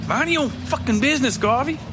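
A man's voice: very high-pitched, fairly quick, pitched above the performer's own voice. A man voicing a menacing, short-tempered gunslinger bully who drawls threats and insults in a strained snarl. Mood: furious. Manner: insulting, domineering, drawled rustic phrasing. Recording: loud music behind the voice.

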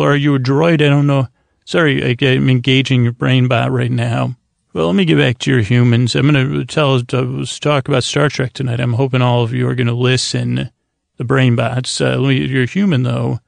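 A man hosting a bedtime podcast with a creaky voice, rambling gently and haltingly in a friendly, lulling monologue. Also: medium pitch, no room sound. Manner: meandering, long-winded, halting.

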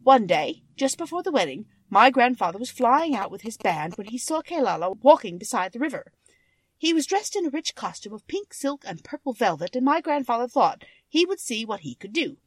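A cheerful winged monkey king, played by a woman reading a storybook, recounts old tales expressively. The voice is fairly high and very lively in pitch, recorded close and dry. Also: fairly quick, breathy edge, no echo. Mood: storytelling. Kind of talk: children's storybook character narration.

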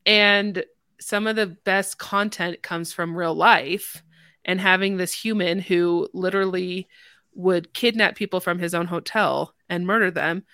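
A woman's voice: fairly low pitch, fairly even intonation, unhurried, sharp tone, clean tone, no room sound. A woman hosting a casual podcast, chatting informally with the other speakers. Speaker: podcast host.

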